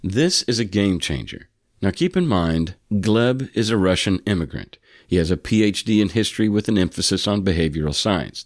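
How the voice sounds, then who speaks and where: Deep-voiced American man hosting a podcast. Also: no room sound, clean studio sound. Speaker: podcast host. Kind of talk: scripted podcast introduction, reading aloud.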